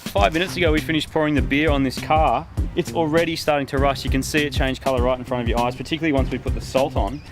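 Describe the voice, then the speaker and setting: A man's voice: medium pitch, steady tone, fast, few pauses, music in the background. An Australian man explaining car work to camera in a casual, chatty, matey way. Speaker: host of a car modification show.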